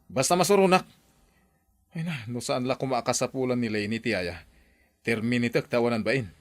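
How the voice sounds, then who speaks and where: Man as a fast-talking, irritable uncle. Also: medium pitch, lightly acted. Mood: irritated. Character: irritable, suspicious uncle of a young girl. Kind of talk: radio drama acting.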